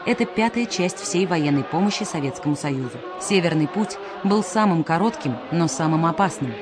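A woman's voice: lowish voice, expressive, normal speed, noisy background. A woman reading scripted narration to introduce a radio play. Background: music.

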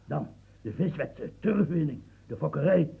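An old, feverish statesman, gravelly and husky, stumbling over his words; tinny old radio recording. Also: fairly high pitch, expressive, moderate pace, heavily acted. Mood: feverish ranting. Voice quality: gravelly, stammering. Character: aging French statesman, feverish, rambling, near death. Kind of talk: radio play acting.

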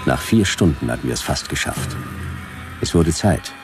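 A man's voice: extremely low pitch, lively intonation, normal speed, over music. A man playing a wry, hard-boiled detective who narrates in terse, ironic sentences in a sci-fi radio drama.

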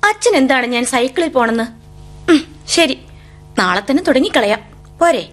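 An actress playing a bookish, wistful young woman with quiet defiance, in an introspective, dramatic radio drama style. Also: sits high, lively intonation, moderate pace. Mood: resigned defiance. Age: young adult. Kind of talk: radio drama acting with monologue and poetic recitation.

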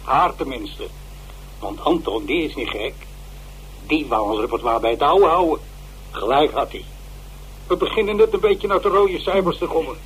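A man's voice: a little high, normal speed, pauses often, a little hiss or hum, natural voice, rough recording. An actor playing a fussy, gossipy man of the theatre in a radio drama, indignant and scornful. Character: peevish, gossipy male comedy-theatre player, indignant and fussy. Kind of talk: Dutch radio drama monologue.

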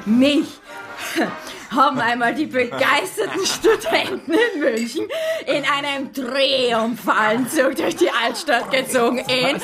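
An actress playing a jovial, boastful older music-hall veteran, grand and theatrical, in a radio drama. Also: female voice, high voice, animated, slow pace. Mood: boastful, amused.

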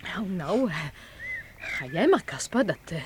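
A woman playing an eager, curious and scheming household helper in a children's audio drama, excitable and conspiratorial. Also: on the low side, a little slow, a little hiss or hum. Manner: excitable, conspiratorial, stammers when covering up.

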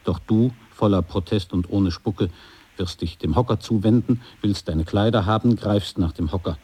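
A man with a husky, dark voice reading scripted second-person narration in a radio play. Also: fairly low pitch, normal speed.

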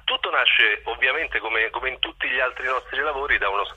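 A man's voice: mid-range voice, a little fast, bright, forceful tone, husky. A man answering interview questions over a telephone line, hesitant and often repeating words mid-sentence. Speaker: interview guest, theatre performer.

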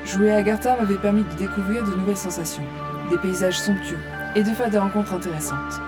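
A woman's voice: mid-range voice, a little fast, lightly acted. A woman acting a blunt, slangy, sardonic heroine in a French-language audio drama. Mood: wry narration. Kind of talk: audio drama acting and first-person narration. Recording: loud music bed underneath. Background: music.

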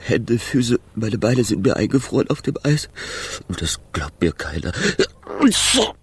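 Husky, weary Wind spirit. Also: male voice, on the low side, very animated, exaggerated voice. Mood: shivering, plaintive. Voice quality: breathy, husky. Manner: halting, plaintive, sighing. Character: the Wind, frozen, weary, boastful but timid wanderer. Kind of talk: children's radio play acting.

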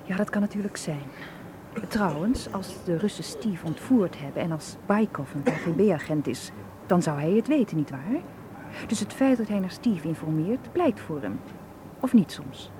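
A woman's voice: lowish voice, animated, moderate pace, natural voice. An actress playing a young, anxious but determined female tour guide in a radio drama, speaking earnestly. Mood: worried, insistent. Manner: earnest, insistent. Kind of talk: Dutch radio drama acting. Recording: radio play, clear background noise.

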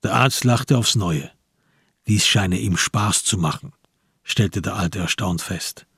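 A man's voice: low-pitched, slow pace, fairly bright tone, slightly rough, no room sound, studio quality. A man reading scripted narration as the narrator of a radio crime drama.

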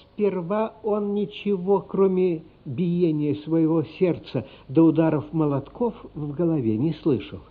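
A man with a slightly high voice narrates a radio drama. He reads slowly, with measured suspense and dramatic weight. The recording is somewhat dull, with faint music behind him. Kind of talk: dramatic scripted narration of a literary radio play. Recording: dull, band-limited radio play recording.

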